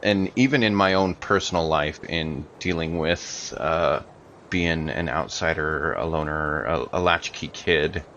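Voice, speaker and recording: Male tabletop player, deep voice, casual and hesitant, thinking aloud, slightly muffled recording. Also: unhurried, a bit bright, slight background noise. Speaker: player in a tabletop role-playing game. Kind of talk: casual game talk, explaining his character's actions.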